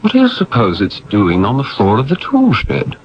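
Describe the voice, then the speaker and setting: A man's voice: medium pitch, huge pitch swings, moderate pace. Curious uneasy guy in a radio play, very swoopy intonation, muffled old recording. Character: curious, uneasy man questioning a strange sign. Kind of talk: radio drama acting. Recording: dull lo-fi vintage radio recording.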